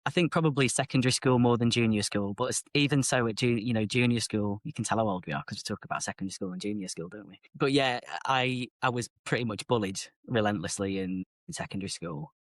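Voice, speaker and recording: A man's voice: lowish voice, fairly bright tone, no room sound, studio quality. A middle-aged man, telling his life story as a podcast guest in an open, reflective, chatty way. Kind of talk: candid personal storytelling.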